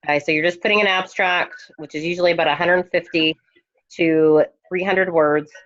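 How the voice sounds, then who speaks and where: Hoarse woman teacher with a low voice. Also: steady tone, normal speed, a bit bright. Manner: hesitant, many ums. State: losing her voice. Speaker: instructor. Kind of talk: online course lecture. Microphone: headphone mic. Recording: dull lo-fi recording.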